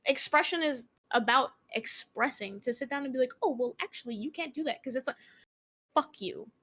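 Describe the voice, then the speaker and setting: A woman's voice: high-pitched, a little fast, fairly bright tone. Impassioned woman streamer, lots of cursing. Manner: passionate, swears freely, lots of fillers. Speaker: streamer on a panel. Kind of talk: casual panel chat.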